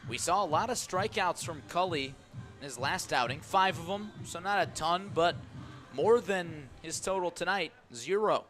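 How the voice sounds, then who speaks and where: A man's voice: high voice, animated, normal speed, fairly bright tone, faint music behind. A man calling baseball play-by-play live on the radio in short, clipped, vivid phrases. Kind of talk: live radio sports commentary. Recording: live ballpark broadcast with background noise.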